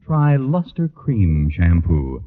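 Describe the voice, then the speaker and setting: Very deep-voiced male radio ad announcer. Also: wildly swooping pitch, slow. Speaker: commercial announcer. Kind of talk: 1940s radio ad read. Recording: phone-like vintage radio recording, long reverb.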